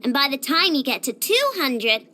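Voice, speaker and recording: A woman's voice: high-pitched, very animated, moderate pace, bright, forceful tone, a little hiss or hum, heavily acted. A woman voicing a cheerful, proud young girl inventor in a video game, with a bubbly, boastful, childlike delivery. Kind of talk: scripted video game character voice lines.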